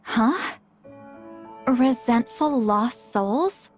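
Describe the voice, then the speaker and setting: An actress voicing a laid-back, quietly confident young woman medium in a game, with a languid, casual delivery. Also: a little high, expressive, extremely slow. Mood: puzzled. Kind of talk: scripted video game character dialogue. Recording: game music behind the voice.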